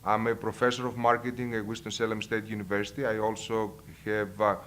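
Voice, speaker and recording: Man, Greek accent, middle-aged professor, earnest life story, halting repeats. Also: fairly low pitch, little pitch movement, fairly bright tone, slight background noise. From Greece. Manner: earnest, with repetitions and hesitations. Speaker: marketing professor. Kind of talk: personal life story and advice to camera.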